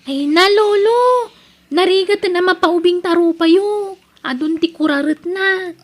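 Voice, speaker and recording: Woman doing a cheeky ilocano grandkid, super high little voice, radio comedy. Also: unhurried. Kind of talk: comedic radio-style drama acting.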